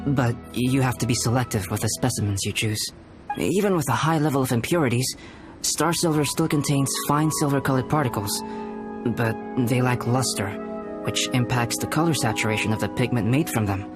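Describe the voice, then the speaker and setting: An actor playing a calm, cerebral young male alchemist in a video game, speaking formally, precisely and with composure. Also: mid-range voice, brisk, close to an everyday voice. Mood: explanatory. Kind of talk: video game character acting. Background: background music.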